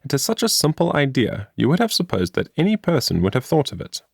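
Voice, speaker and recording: A man's voice: medium pitch, wildly swooping pitch, quick pace, creaky, breathy edge, dry recording, studio quality, heavily acted. A man voicing a cheerful, industrious young woman in a storybook, in a chatty, earnest manner. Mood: earnest, musing. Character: cheerful, industrious young woman explaining her homemade invention.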